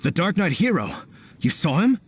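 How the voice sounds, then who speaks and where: An actor playing an earnest, courteous young knight in a video game, speaking formally and expressively. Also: male voice, a little high, sing-song, normal speed, over loud music. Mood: excited, grateful.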